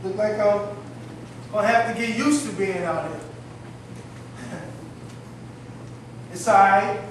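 A man's voice: high-pitched, slow. A man playing a down-on-his-luck homeless beggar, bitter and wry, in a folksy, rambling, theatrical stage monologue. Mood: resigned. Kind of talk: theatrical church drama monologue. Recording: very echoey hall, distant mic, faint noise.

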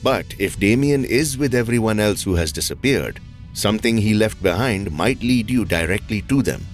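A man playing an analytical older psychologist in an audio drama, deliberate, composed and clinical. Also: fairly low pitch, expressive, faint music behind, lightly acted. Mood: calm, analytical.

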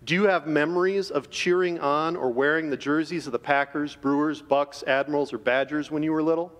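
Middle-aged man, earnest chapel talk, slightly high voice, long auditorium reverb. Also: steady tone, a bit bright. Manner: earnest, measured, addressing students directly. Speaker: chapel speaker. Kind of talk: school chapel devotion. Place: large school auditorium stage. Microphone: podium microphone.

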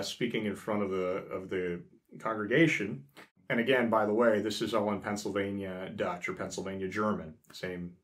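A man's voice: lowish voice, a bit bright. A man in his thirties hosting a casual explainer, talking to camera in a small room with many fillers and restarts. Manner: conversational, many fillers and restarts, gestures with hands. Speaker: host. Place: small indoor room by a frosted window.

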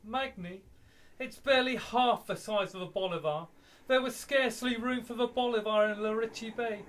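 An actor playing a haughty, mocking aristocratic poet in a radio play, pompous and taunting. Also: male voice, very high-pitched, normal speed, a bit bright.

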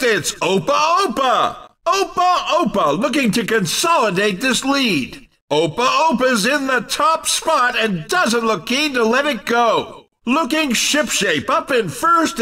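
An actor playing an excited, upbeat race commentator in a video game, calling the action in punchy exclamations. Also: male voice, very high voice, far from the mic, full character voice. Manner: exuberant, punchy, exclamatory announcing. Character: hyped-up, upbeat male racing game commentator.

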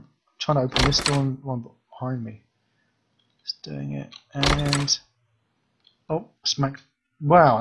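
A man's voice: on the high side, creaky, slight background noise. A man reviewing a game asset in casual commentary, thinking aloud and ranting about bugs as he explores.

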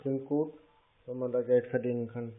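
A man's voice: medium pitch, fairly even intonation, moderate pace. Laconic male customer, casual phone talk, narrow telephone sound. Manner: laconic, noncommittal short replies. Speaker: prank caller posing as interested customer. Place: phone call. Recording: tinny, band-limited phone line.

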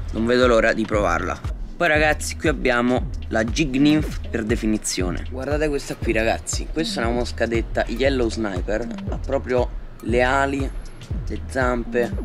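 Enthusiastic teenage male presenter over music. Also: medium pitch. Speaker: fishing vlogger, presenter. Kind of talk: unboxing product presentation. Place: outdoors at a patio table in a garden.

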